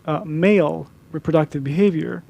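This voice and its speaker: Gravelly-voiced man from Serbia lecturing. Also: a little high, mellow. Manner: measured, with frequent restarts and fillers. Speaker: public speaker. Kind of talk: lecture presentation.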